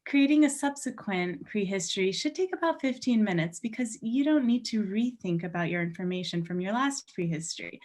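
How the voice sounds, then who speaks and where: Woman panelist with a mid-pitched voice. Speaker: research team panelist. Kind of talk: scripted explanatory answers, read out.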